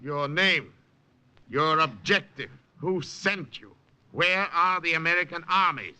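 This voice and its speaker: Sharp, cruel commandant. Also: male voice, a little high, lively intonation, takes it slowly, clear, resonant tone, heavily acted. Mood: barking, commanding. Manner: barking, menacing, theatrical. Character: cruel, imperious male German commandant interrogator. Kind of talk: 1940s radio acting. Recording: noisy vintage radio recording.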